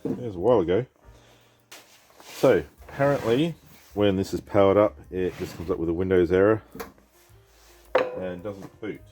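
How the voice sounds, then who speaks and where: A man's voice: low-pitched, moderate pace, long pauses, mellow, faint music behind. A man with a head cold, rambling casually as he repairs a computer and thinks aloud. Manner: rambling, thinks aloud, reads on-screen text. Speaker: PC repair vlogger. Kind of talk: casual rambling commentary while working.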